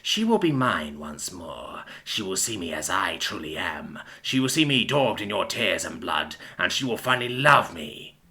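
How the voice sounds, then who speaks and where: A man voicing a slow, menacing deluded villain. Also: very animated, bright, forceful tone, heavily acted. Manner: slow, low and menacing, breaking into panicked pleading. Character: hulking villain who thinks he is a dragon.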